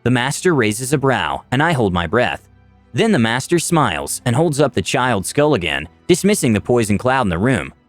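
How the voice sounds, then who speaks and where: A male story narrator with a mid-pitched, highly animated voice. He delivers scripted first-person fantasy narration with drama. The voice is recorded dry, with background music clearly present. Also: moderate pace, no room sound.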